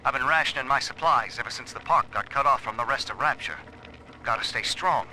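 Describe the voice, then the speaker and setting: Tense, sharp-voiced male survivor. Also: mid-range voice, a little fast, a little hiss or hum, poor audio. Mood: tense, besieged. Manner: plain-spoken, colloquial, tense. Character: embattled, defiant male survivor, gruffly desperate.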